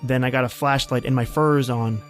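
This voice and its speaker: A man's voice: medium pitch, steady tone, moderate pace, close to an everyday voice. Man acting a haunted polar survivor, music underneath. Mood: numb, grieving. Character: haunted, panicky man stranded in polar cold, recounting his ordeal. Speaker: podcast host and actor. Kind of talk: old-time radio horror drama narration.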